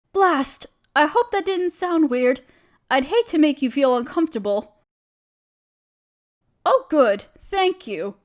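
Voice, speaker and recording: A woman playing a friendly, genteel witch who chats kindly in quaint, dainty phrases, in an audio roleplay. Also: high voice. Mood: warm, admiring. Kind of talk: scripted audio roleplay speaking to the listener.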